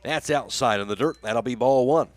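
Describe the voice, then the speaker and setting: A man's voice: mid-range voice, moderate pace, dry recording. American man calling baseball, dry close sound. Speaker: radio play-by-play announcer. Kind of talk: live baseball play-by-play commentary. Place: live radio broadcast from a high school baseball game.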